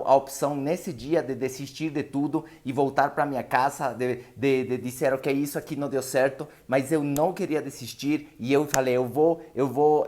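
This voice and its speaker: Emphatic man with a Spanish-speaking accent. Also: fairly high pitch. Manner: emphatic storytelling, checks in with listeners. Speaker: motivational speaker. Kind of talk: live motivational seminar talk.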